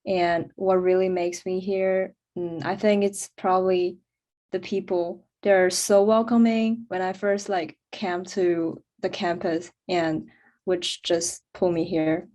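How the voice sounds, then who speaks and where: Slow, even-toned teen girl from China. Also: fairly low pitch. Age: teens. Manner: slow, careful, simple phrasing. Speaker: student panelist. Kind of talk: casual introduction.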